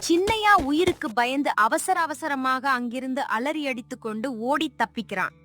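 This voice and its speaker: A woman's voice: sits high, moderate pace, background noise. A woman narrator telling a moral story in a dramatic scripted style.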